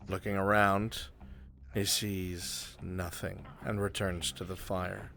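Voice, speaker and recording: A man's voice: low voice, little pitch movement, takes it slowly, creaky, fairly bright tone. A man acting as game master, narrating a live improvised roleplay game and giving players short directions. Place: voice chat in a virtual reality game.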